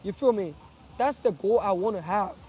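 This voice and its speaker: High-voiced man, earnest and halting. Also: mellow, a little hiss or hum. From Liberia. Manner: earnest, repeats and restarts phrases. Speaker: streamer. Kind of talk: casual live address to followers.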